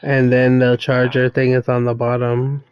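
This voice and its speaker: A man chatting casually at home, explaining things in loose, halting phrases. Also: mid-range voice, monotone. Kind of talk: casual chat. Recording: dull lo-fi home recording.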